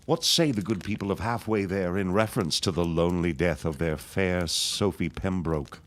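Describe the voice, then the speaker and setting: An actor playing a scheming, vengeful elder reverend in a period radio drama, speaking in a pompous, florid, sneering manner. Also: male voice, sits low, normal speed, lightly acted. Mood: pompous, falsely genial.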